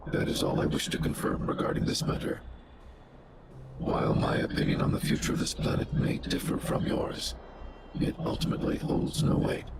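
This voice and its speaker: A man voicing a big, polite, impassive robot in a video game, speaking formally through a robotic filter. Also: heavy background noise. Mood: flat, formal. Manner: formal, measured, emotionless. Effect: robotic synthetic voice filter. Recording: game music behind. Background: game music.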